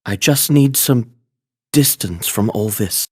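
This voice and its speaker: A man playing a soft-spoken, guilt-ridden young gentleman in a game cutscene, with a halting, solemn delivery. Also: fairly low pitch, lively intonation, breathy edge, full character voice. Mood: grieving, subdued. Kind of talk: animated game cutscene acting. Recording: music behind the voice.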